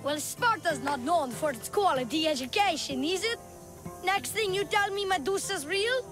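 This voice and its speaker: A cheeky little boy con artist in a video game. The voice is extremely high and childlike, full of slick sales patter and fake innocence. Music plays clearly underneath. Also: expressive, moderate pace. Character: cheeky young boy tour guide, sly con artist. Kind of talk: video game character acting.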